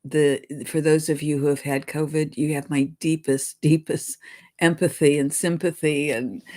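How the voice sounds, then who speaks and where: A woman psychic giving her weekly message in a casual way, her voice deep and hoarse from congestion as she recovers from illness. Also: a little mellow. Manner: chatty, apologetic, clears throat. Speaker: psychic channeling a spirit guide.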